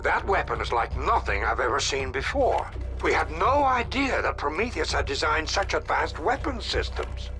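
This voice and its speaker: An actor playing an authoritative male commander giving formal, measured mission briefings in a video game. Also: a little high, very animated. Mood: measured, serious. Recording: loud music under the voice.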